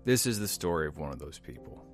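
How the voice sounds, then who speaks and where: A man's voice: a bass voice, sing-song. A man reading an earnest, scripted introduction to a podcast episode. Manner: earnest, measured delivery. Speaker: show narrator. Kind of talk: scripted narration. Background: soft music.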